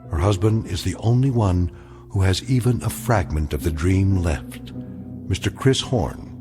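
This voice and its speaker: A man narrating a radio drama in a measured, solemn storytelling manner. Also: low voice. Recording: music beneath the voice.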